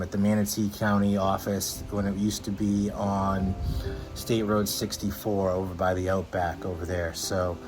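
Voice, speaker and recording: A man, introducing himself in a rambling way with many fillers. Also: lowish voice. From Massachusetts. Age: 40s. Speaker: real estate agent. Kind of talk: casual self-introduction. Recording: music playing clearly under the voice.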